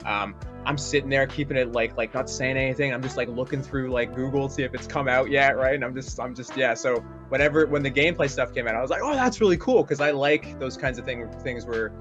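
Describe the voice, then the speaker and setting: A man's voice: mid-range voice, quick pace, hardly any pauses, lo-fi sound, over music. A man giving a casual, rambling interview as a voice actor, often tagging phrases with a checking question.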